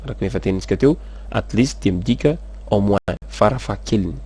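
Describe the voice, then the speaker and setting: Man teaching English, low voice. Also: warm tone, slightly rough. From Madagascar. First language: Malagasy. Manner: slow, careful model sentences between fast explanations. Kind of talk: language lesson, explaining in Malagasy and French.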